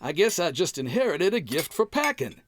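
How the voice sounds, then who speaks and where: A man's voice: fairly high pitch, sing-song, moderate pace, a little hiss or hum. An American man playing a bumbling, cheerful packrat husband in old-time radio comedy style, folksy and hemming as he makes excuses. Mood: cheerful, sheepish.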